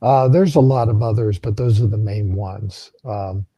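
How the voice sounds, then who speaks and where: A hoarse man with a very dark, husky voice, explaining physiology in a live question-and-answer session. He pauses often with ums and uhs, and the sound is dry and close. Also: fairly low pitch, no echo, lo-fi sound. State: hoarse, losing his voice. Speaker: co-host answering health questions.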